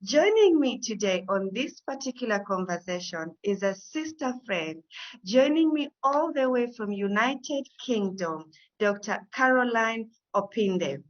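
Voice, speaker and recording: A woman hosting an interview show, opening with a formal, warm welcome and an introduction of her guest. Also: fairly high pitch, lively intonation, measured pace, dull audio. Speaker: show host.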